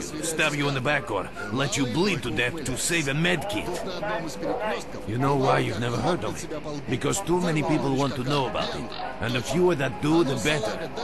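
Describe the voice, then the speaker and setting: Friendly game barkeep, slangy and confiding, medium pitch, loud music underneath. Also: male voice, vocal fry. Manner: chummy, slangy storytelling. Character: friendly streetwise male bartender at a swamp outpost. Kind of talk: video game character dialogue.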